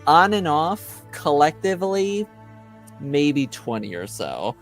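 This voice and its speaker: A man's voice: on the high side, sing-song, slow pace, faint music behind. A man playing a tabletop roleplaying game, giving a hedged estimate with restarts. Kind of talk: casual chat at a game table.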